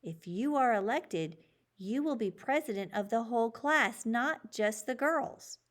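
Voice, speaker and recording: A woman's voice: on the high side, lively intonation, a little slow, voice pushed up. A woman reading the part of a fair male schoolteacher in a children's storybook, firm and instructive.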